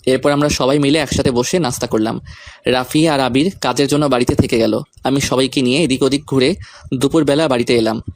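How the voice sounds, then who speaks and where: A man's voice: medium pitch, steady tone, brisk. A man reading a scripted first-person story, narrating events and speaking the lead character's lines.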